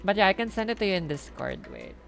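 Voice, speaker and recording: A woman's voice: mid-range voice, wildly swooping pitch, fast, vocal fry, a bit bright, soft background music. A woman with a Filipino accent chats casually on a game livestream, playful and blunt. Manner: chatty, playful, blunt with swearing. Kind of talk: casual livestream chat, mixing English and Tagalog.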